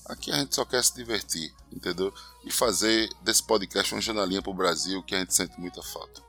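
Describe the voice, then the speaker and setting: Recife man with a bright, low voice. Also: brisk, faint music behind. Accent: Pernambuco (Recife). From Recife, northeast Brazil. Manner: chatty, often tags "entendeu?". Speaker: podcast co-host. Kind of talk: casual podcast chat. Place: living room at home.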